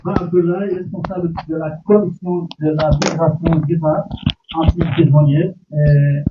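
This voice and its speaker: A man's voice: on the high side, little pitch movement, measured pace. A man moderating a public meeting who reminds the speakers of the time and hands over the floor, hesitating often with fillers. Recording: tinny, distant, noisy recording.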